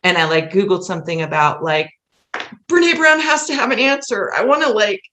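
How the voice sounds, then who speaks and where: A woman's voice: medium pitch, big pitch swings, normal speed, sharp tone, a little hiss or hum. A woman guest on a podcast talking casually and earnestly, in an emphatic, rambling way. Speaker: podcast guest, musical playwright. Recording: roomy, echoey sound.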